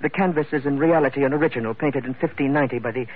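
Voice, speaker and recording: An actor playing a pompous, wheedling gentleman schemer with ornate, old-fashioned speech, in an old-time radio drama style. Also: medium pitch, very fast, breathy edge, natural voice. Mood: grandiose persuasion. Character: florid, wheedling gentleman schemer, fussy and grandiose. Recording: tinny, narrow-band old radio recording.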